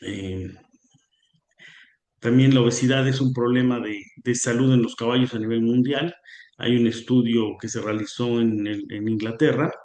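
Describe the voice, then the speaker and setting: A man's voice: medium pitch, a little mellow. A man giving an online conference lecture, hesitant with many fillers and a slightly sore throat. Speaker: guest lecturer on equine nutrition. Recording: roomy echo.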